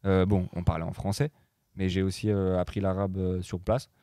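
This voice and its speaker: A man's voice: low-pitched, level tone, moderate pace, mellow, no echo, clean studio sound. A young man in his twenties, a guest DJ and producer who grew up in Morocco, chatting casually with many hesitations and fillers. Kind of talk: casual chat.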